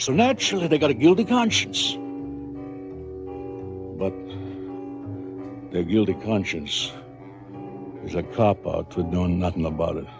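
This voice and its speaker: An actor playing a cynical, brooding crime boss in a stylised radio drama, sardonic and businesslike about killing. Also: male voice, low voice, full character voice. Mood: brooding contempt.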